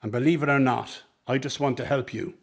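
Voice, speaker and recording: An actor plays a sly circus man in a radio play, a smooth talker with a medium-pitched voice. He coaxes and persuades, ingratiating himself as he schemes. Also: steady tone, a little fast. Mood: earnest, coaxing. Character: smooth-talking, scheming circus man, mother's old flame. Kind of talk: dramatic radio play dialogue.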